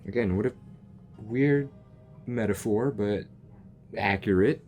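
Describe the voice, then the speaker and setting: Young guy doing a game reaction, slow, low-ish voice, rambly and jokey, stumbles a lot. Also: darkish tone, soft background music. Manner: rambling, joking, trips over words. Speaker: gaming reaction youtuber. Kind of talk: casual reaction commentary.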